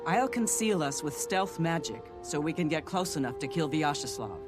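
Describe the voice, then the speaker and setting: An actor playing a confident male assassin of a secret order in a fantasy video game, deliberate and coolly eager. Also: a little high, animated, natural voice. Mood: confident, approving. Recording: prominent music behind the voice.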